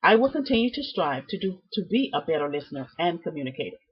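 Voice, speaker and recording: A woman giving a prepared student presentation, reading from notes with deliberate, emphatic phrasing and halting repeats. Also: fairly low pitch, big pitch swings, slightly rough. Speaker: student presenting a class project. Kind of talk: prepared speech, read from notes. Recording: dull, muffled lo-fi sound.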